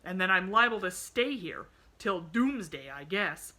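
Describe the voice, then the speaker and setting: Woman playing a cross, forceful, sardonic man. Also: fairly low pitch, expressive, measured pace, full character voice. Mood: irritable. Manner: curt, forceful, sarcastic. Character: cross, bitter, bedridden grown man, sardonic.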